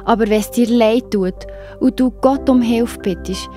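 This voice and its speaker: A woman's voice: on the high side, unhurried, mellow, faint music behind. A woman with a Swiss German accent narrating a scripted children's Bible story expressively, speaking directly to young listeners.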